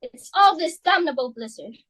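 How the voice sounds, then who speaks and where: A woman's voice: sits high, lively intonation, moderate pace, a bit bright. A woman playing a domineering, socially ambitious mother and hostess in a dramatic reading of a stage play, with an imperious, melodramatic, scolding manner. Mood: furious, indignant.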